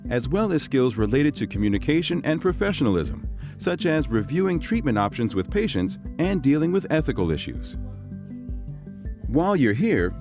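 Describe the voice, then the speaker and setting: A man gives scripted instructional narration in a clear, measured way. His pitch is mid-range but moves a lot. Soft music runs faintly under him.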